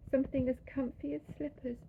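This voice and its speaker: A woman's voice: sits high, flat delivery, a little fast, creaky, phone-quality sound, a little hiss or hum. A woman reading a children's bedtime story expressively, drawing out key words with theatrical emphasis.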